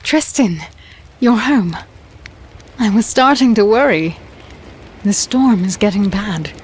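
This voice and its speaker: An actress playing a warrior's caring, plainspoken partner in a fantasy audio drama, worried and expressive. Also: female voice, mid-range voice, slow. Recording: rainstorm noise behind the voice.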